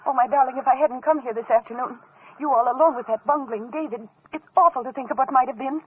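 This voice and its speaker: Actress as an anxious, quick-talking, high-voiced wife. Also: female voice, lightly acted. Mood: tender worry. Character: anxious, doting wife fussing over husband. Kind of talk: 1940s radio acting. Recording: tinny, noisy vintage radio recording.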